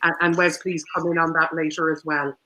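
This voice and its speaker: A woman moderates a scholarly panel. Her voice sits a little low yet has a clear, forward brightness, and she words her questions formally and with measured care. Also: little pitch movement, rough recording.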